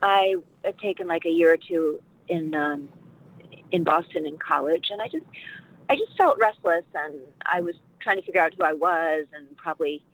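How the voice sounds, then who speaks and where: A woman's voice: fairly low pitch, lively intonation, normal speed, low-quality recording. A middle-aged woman from Washington, D.C., an actress and dialect coach, talking casually as an interview guest in a rambling, reflective way with many fillers.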